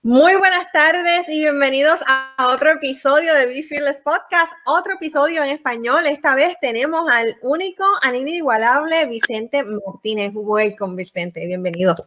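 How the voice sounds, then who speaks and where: A woman hosts a podcast interview with a fairly high voice. She greets her guest effusively and leads the conversation warmly with open questions. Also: normal speed, few pauses. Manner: warm and effusive. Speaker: podcast host. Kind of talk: casual interview.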